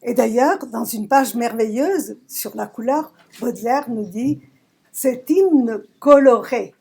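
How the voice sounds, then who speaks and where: A woman from southern Italy, a poet giving a reflective talk about her work, searching for words with emphatic pauses. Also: fairly high pitch, creaky, warm tone, husky. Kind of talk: reflective talk at a poetry recital. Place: public library event.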